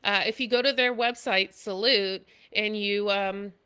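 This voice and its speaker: A woman's voice: medium pitch, fairly even intonation, measured pace, sharp tone, clear, resonant tone. A woman with a nasal voice from allergies gives an impromptu live video talk, loose and chatty with many fillers. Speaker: military service member presenting school tips.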